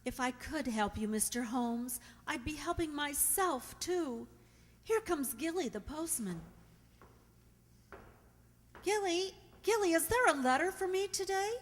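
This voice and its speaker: A woman's voice: high-pitched, expressive, measured pace. A woman playing a guarded, forlorn young maid in a radio mystery play, defensive and pleading. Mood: anxious. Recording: reverberant hall-like sound.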